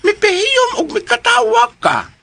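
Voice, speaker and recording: A woman's voice: extremely high pitch, wildly swooping pitch, vocal fry, background noise, exaggerated voice. A woman playing a cackling, taunting comic busybody in a Filipino radio drama, drawing out her teasing. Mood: gleeful, mocking. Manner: cackling, shouting, drawn-out teasing.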